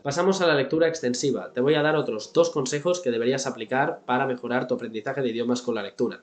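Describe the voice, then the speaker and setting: Man, twenties, rapid instructive delivery, echoey room. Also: mid-range voice, darkish tone. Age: 20s. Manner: brisk, instructive, addresses viewer directly. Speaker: language-learning YouTuber. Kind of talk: scripted explainer to camera.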